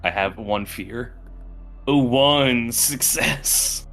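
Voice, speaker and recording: A man's voice: medium pitch, very slow, fairly bright tone, faint music behind. A man chatting casually and swearing as a player in a tabletop roleplaying game.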